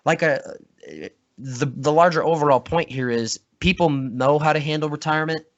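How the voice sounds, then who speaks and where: A man's voice: on the high side, fairly even intonation, normal speed, lo-fi sound. A man hosting a casual podcast chat, speaking earnestly in long, rambling sentences. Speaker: podcast host.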